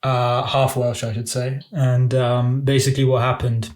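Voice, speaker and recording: A man's voice: medium pitch, flat delivery, normal speed, a little mellow. Chatty young man with constant fillers. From England. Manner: rambling storyteller, constant fillers. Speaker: podcast guest. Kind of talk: casual chat.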